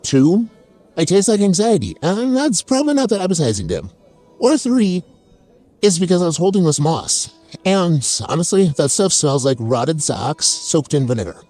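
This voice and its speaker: A man's voice: high-pitched, sing-song, normal speed, warm tone, soft background music. A man playing a chaotic, oversharing fantasy tavern keeper who tells tall tales in a theatrical, wry, self-deprecating way. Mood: comic, incredulous. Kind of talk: dramatic first-person comic storytelling.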